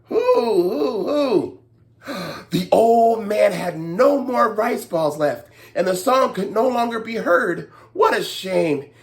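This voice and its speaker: A woman telling a story to young children, expressive, with playful asides and exclamations. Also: mid-range voice, slow pace.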